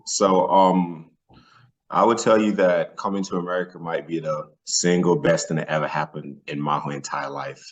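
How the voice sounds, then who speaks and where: Low-voiced Nigerian-born man telling personal stories. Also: fairly even intonation, moderate pace, muffled recording. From northern Nigeria. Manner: colloquial, peppered with "man" and "you know". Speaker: podcast guest, former athlete. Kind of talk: casual personal storytelling.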